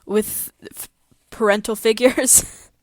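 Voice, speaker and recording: A woman's voice: fairly high pitch, fairly even intonation, slow pace, darkish tone, no echo. A woman co-hosting a podcast, talking casually as she discusses a story. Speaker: podcast co-host.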